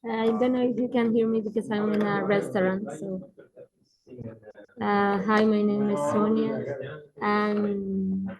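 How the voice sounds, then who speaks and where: A woman's voice: mid-range voice, little pitch movement, measured pace, a crowd in the background. A woman introducing herself tentatively on a video call from a restaurant. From Spain. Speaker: project team member. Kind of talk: online webinar self-introduction. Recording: dull lo-fi call audio.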